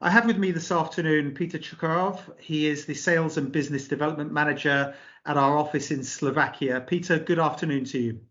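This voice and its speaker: A man's voice: fairly high pitch, steady tone, fairly quick, a bit bright, dull audio. A man hosting a business interview, asking questions in a polite, formal way. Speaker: interview host, company director.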